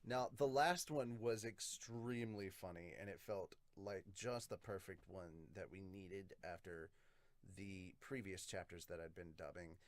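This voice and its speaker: A man's voice: low voice, measured pace, no room sound. A man hosting a podcast, giving casual commentary as he reviews a manga.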